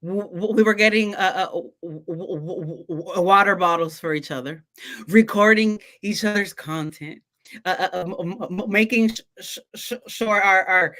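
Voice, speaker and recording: A woman coach who stutters a lot on first sounds, talking in a relaxed podcast chat. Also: lowish voice, moderate pace. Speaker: confidence coach and guest.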